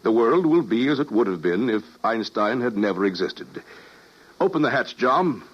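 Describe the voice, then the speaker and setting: Man acting as driven time-travel scientist, urgent, theatrical, excitable, big pitch swings, old radio play, dull recording, loud background noise. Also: normal speed, close to an everyday voice. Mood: tense, urgent.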